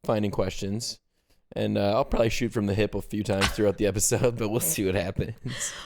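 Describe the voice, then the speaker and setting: A man from the American Midwest co-hosting a casual podcast chat, relaxed and rambling with many fillers. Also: lowish voice, a little fast, gravelly, noisy background. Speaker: podcast co-host.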